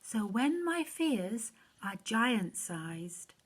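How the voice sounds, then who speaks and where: A devout, resolute young shepherd boy in a children's storybook, voiced by a woman. The lines are slow and rhythmic, slightly breathy, trailing off softly with earnest faith. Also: on the high side, very animated, heavily acted.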